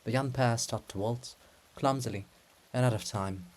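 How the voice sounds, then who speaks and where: A man narrating stage directions for a dramatic play reading in a measured, theatrical manner. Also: mid-range voice.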